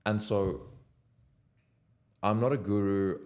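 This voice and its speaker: Man, young, very deep voice, earnest motivational vlog, unscripted, talking from a home desk. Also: steady tone, takes it slowly, a little mellow. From South Africa. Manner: earnest, motivational, direct to camera. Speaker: content creator. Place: home office at his desk.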